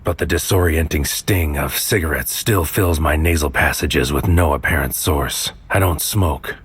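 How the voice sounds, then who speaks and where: An actor playing a tormented male protagonist, narrating a sci-fi mystery drama in a grave, deliberate, poetic way. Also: a bass voice, husky, no room sound, exaggerated voice. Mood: subdued, uneasy. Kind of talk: first-person scripted audio drama narration. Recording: music behind the voice.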